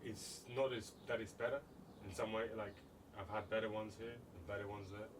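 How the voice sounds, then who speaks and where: A man with a somewhat low voice chats reflectively as a guest. He halts and repeats words while forming his thoughts. The mic is slightly distant and the background noise is clearly audible. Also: steady tone, a little fast. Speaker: interview guest, artist. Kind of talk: casual reflective conversation.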